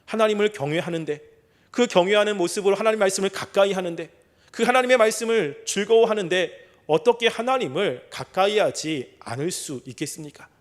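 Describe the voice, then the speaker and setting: A middle-aged male pastor giving a sermon. He asks rhetorical questions and reads scripture slowly and deliberately. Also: high-pitched, lively intonation. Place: church sanctuary.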